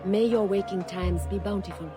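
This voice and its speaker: An actress playing a grave, mystical young seer's apprentice in a video game, with solemn, poetic delivery. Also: female voice, medium pitch, normal speed, natural voice. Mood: foreboding. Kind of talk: video game cutscene acting. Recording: faint game music behind the voice.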